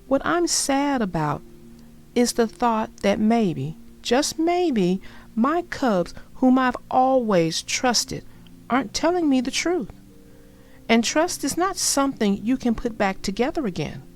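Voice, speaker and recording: A woman voicing a gentle, wise mother bear in a storybook, tender and measured. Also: fairly high pitch, sing-song, full character voice. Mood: sad, gentle.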